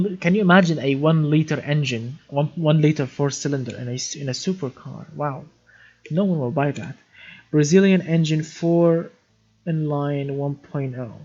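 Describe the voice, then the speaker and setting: A man's voice: on the high side, a little mellow, muffled recording. A young man from Syria hosting a casual live stream, chatty, reading viewer comments aloud and often repeating words as he restarts sentences. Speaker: livestream gaming host.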